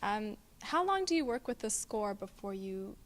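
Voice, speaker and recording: A woman's voice: medium pitch, very animated, moderate pace. A woman interviewing a singer, asking questions and giving brief encouraging replies.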